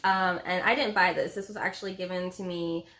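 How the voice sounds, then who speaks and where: A woman's voice: on the low side, steady tone, brisk, bright, forceful tone. A woman in her thirties chatting casually at home as a book vlog co-host, with a playful, gushing manner. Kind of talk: casual bantering chat. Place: small room at home, indoors.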